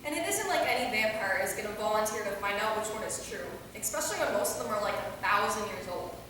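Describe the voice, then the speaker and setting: A woman's voice: medium pitch, lively intonation, fast talker, lightly acted. Woman playing sassy young vampire, very echoey. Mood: scornful, sassy. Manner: slangy, sassy, exclamatory. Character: brash, excitable young female vampire, sarcastic and silly. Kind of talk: staged reading of a comic play. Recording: echoey hall from far off, noisy.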